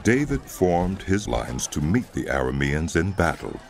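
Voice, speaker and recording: A man narrating a dramatized scripture audiobook in a solemn, deliberate storytelling style. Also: low-pitched, very animated, gravelly. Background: music.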